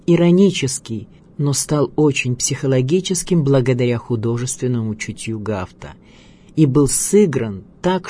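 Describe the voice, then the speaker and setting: A man narrating a scripted radio biography in a measured, expressive storytelling manner. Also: medium pitch. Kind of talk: scripted documentary narration with dramatic readings. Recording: music bed under voice. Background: music.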